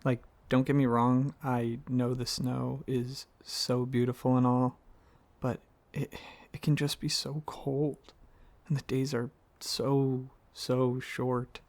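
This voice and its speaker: A man playing a gravelly, affectionate dragon boyfriend. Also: medium pitch, level tone, darkish tone. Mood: wistful. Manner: casual, halting, affectionate, chuckling speech. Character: affectionate, excitable dragon boyfriend with fluff and horns. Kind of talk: ASMR roleplay, softly addressing the listener as partner.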